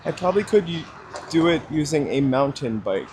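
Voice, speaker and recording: A man's voice: a little high, big pitch swings, moderate pace, darkish tone, a little hiss or hum, rough recording. A man chatting casually to live viewers while walking outdoors on a city street, rambling with lots of fillers, his voice a little froggy with a slight dry cough. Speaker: livestream walking host.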